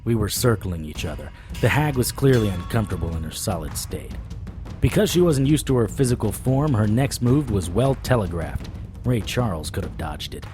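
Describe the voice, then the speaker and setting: Cocky wisecracking monster hunter in an audio drama, flippant, bit of music behind. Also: male voice, fairly low pitch, sing-song, moderate pace. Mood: dry storytelling. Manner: sarcastic, flippant. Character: wisecracking, cocky male supernatural investigator narrating his case. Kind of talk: audio drama, first-person noir-style narration and dialogue.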